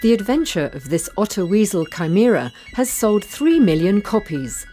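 A woman reading a scripted news feature narration in a measured, even way. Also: medium pitch, faint music behind.

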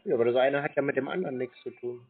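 A man on a phone call answering in a dry, laconic, deadpan way. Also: medium pitch, racing, slightly rough. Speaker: prank call recipient. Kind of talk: phone conversation. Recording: tinny phone-like sound.

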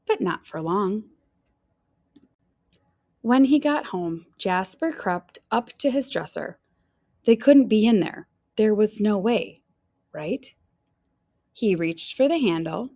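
Slow, expressive woman reading a storybook. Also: on the low side, no echo, clean studio sound. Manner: dramatic, drawn-out emphasis on key phrases. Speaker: teacher reading a picture book aloud. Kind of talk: expressive children's storybook read-aloud.

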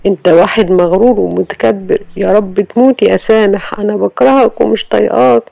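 A storyteller voicing a proud, quick-tempered young wife with an Egyptian accent, snapping out indignant retorts. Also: female voice, medium pitch, steady tone, gravelly, warm tone, no room sound, voice pushed up. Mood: brooding. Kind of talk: storybook audio drama.

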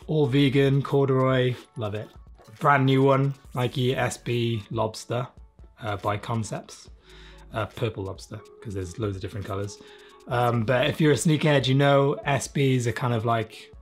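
A man in his thirties from South Wales chatting casually and self-deprecatingly as an interview guest, with informal, sweary talk. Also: mid-range voice. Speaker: interviewed illustrator. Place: small room, home studio indoors.

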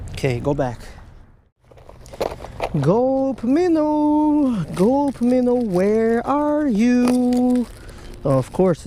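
Excitable man narrating his fishing trip. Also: high voice, slight background noise. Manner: excitable, talks to the fish and viewers. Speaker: fishing vlogger. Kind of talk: casual running commentary while fishing. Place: outdoors by a creek under a stone bridge. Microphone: chest-mounted action camera.

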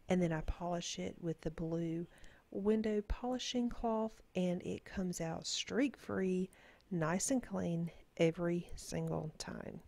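A woman's voice: fairly low pitch, vocal fry, mellow, no room sound. A woman, chatting casually in a voice-over as she explains each cleaning step. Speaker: cleaning vlogger.

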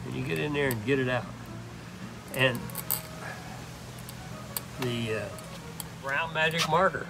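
An older man demonstrating woodworking in an open workshop, talking in a halting, rambling way full of restarts. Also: medium pitch, creaky, noisy background. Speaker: woodturner demonstrating. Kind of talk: casual hands-on demonstration.

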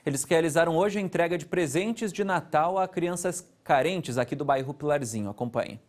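A man, presenting the local news from a TV studio in a steady, conversational way. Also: on the high side, a little fast, noisy background. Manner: steady, conversational anchor delivery. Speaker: news anchor.

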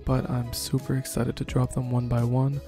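A man's voice: mid-range voice, completely flat delivery, moderate pace. A man casually addressing his viewers as an online creator, friendly and thankful, signing off a video. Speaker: online audio roleplay creator. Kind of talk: casual channel outro. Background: music.

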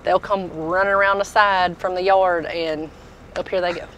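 A woman's voice: lowish voice, fairly even intonation, moderate pace, fairly bright tone, highs cut off, slight background noise. A woman, casually showing products as a tool dealer inside a packed truck. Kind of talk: casual product walk-through chat.

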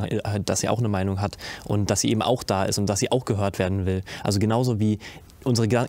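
Young man with a low gravelly monotone. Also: no echo. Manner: earnest, with many fillers and restarts. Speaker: student performer in a stage musical. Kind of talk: casual interview answer. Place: indoor stage hall with curtains.

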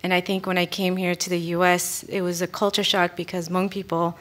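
A woman's voice: lowish voice, flat delivery, fairly quick, fairly bright tone. A woman from France, a native French speaker, telling her story on a stage panel into a table microphone in an earnest way. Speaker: panelist artist and community organizer. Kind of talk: panel talk. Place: stage at an indoor arts venue.

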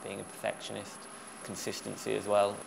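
A man's voice: lowish voice, monotone, fairly quick, creaky, noisy background. A man who works at a dairy, giving casual, informal interview remarks.

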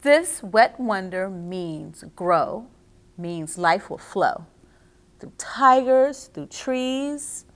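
A middle-aged woman reading and explaining to children as a story time host, expressive and speaking directly to her young listeners. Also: mid-range voice, drawn-out words. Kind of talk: children's read-aloud and instruction. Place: small indoor reading nook with bookshelves.

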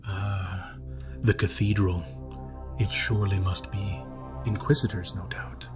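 A man voicing a kindly yet sinister high priest in a storybook reading, speaking softly and in a measured, coaxing way with a low, smooth voice. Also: little pitch movement, music in the background. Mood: persuasive. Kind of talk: storybook narration.

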